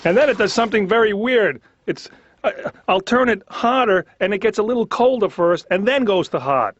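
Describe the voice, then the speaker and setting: High-voiced, exasperated male comedian. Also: lively intonation, normal speed, dry recording. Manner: exasperated, neurotic complaining. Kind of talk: conversational observational comedy monologue. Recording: slightly dull lo-fi cartoon soundtrack.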